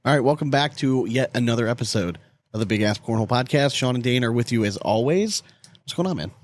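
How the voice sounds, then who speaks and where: A man's voice: mid-range voice, lively intonation, quick pace. A man chatting casually as a podcast co-host. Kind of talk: casual chat.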